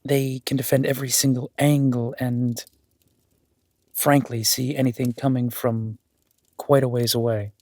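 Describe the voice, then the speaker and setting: A man playing a cautious warrior duke in a fantasy audio drama, respectful, measured and deferential. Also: mid-range voice, level tone, darkish tone, dry recording, lightly acted.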